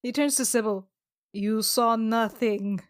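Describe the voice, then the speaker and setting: A woman with a slightly high voice plays a tabletop role-playing game. She talks casually and jokingly, improvising what her character does. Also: unhurried, clear, resonant tone, no room sound, clean studio sound. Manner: informal, joking.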